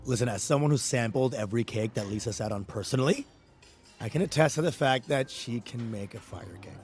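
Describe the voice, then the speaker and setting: A man's voice: medium pitch, animated, brisk, darkish tone, soft background music, lightly acted. An actor playing a casual, frank and supportive male friend in a naturalistic comedy podcast. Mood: dryly supportive.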